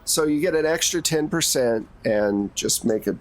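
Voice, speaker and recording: A man's voice: on the high side, lively intonation, normal speed. A man acting as game master in a tabletop role-playing game, prompting players conversationally with questions and rulings.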